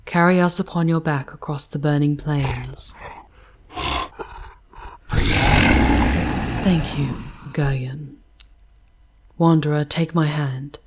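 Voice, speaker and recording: Casting: actress as tender demoness guide for ASMR roleplay; low, breathy, half-whispered, formal and archaic, unhurried delivery. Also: female voice, fairly even intonation. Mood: steady, protective. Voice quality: soft, breathy, often whispered. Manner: slow, archaic formal diction, intimate and soothing.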